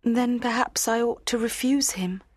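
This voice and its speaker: A woman's voice: on the high side, unhurried, no room sound, clean studio sound. An actress playing a shy, naive, anxious young girl in a storybook drama, deferential and uncertain. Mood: anxious, unsure.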